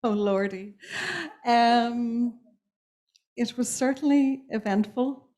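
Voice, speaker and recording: A woman from Ireland with an Irish accent, an author speaking thoughtfully on a panel, pausing often with ums and restarts. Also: a little high, dark tone. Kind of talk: reflective panel talk.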